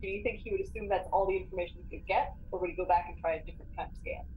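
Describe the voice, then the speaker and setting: A woman's voice: fairly low pitch, fairly even intonation, very fast, phone-quality sound. A woman chatting casually as a player in a tabletop role-playing game. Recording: clearly noisy recording.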